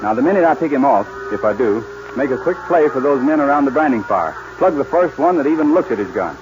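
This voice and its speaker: An actor playing a bold, resolute young American cowboy with folksy phrasing, in a 1940s radio western. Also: male voice, medium pitch, lively intonation, fast talker, over music, lightly acted. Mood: bold, determined. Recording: muffled, hissy 1940s radio recording.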